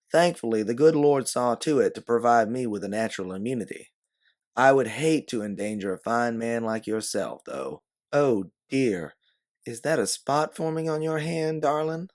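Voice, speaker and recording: Man as sly, bossy Southern nursemaid, raised pitch, overly polite storybook heroine. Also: lightly acted. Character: quick-witted, bossy time-travelling woman posing as Southern nursemaid.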